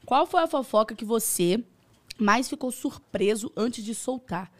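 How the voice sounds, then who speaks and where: A woman co-hosts a casual podcast, playful and teasing as she reads out messages from viewers. Her voice is pitched somewhat high for a woman. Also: expressive, measured pace. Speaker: podcast co-host. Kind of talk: casual chat, reading viewer questions.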